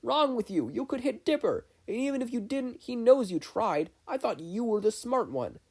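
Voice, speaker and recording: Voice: medium pitch, very animated, normal speed, darkish tone, voice pushed up. A narrator voicing a protective, encouraging, fiery older great-uncle in a fan fiction reading. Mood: angry. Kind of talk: fan fiction audiobook character voice.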